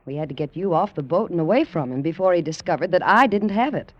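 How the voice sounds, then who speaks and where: A woman's voice: on the low side, lively intonation, fast, no echo. An actress playing a composed, coaxing woman doctor and secret agent in an old radio drama, soothing and assured. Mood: reassuring. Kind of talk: 1940s-50s radio drama acting. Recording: dull, dry vintage radio recording.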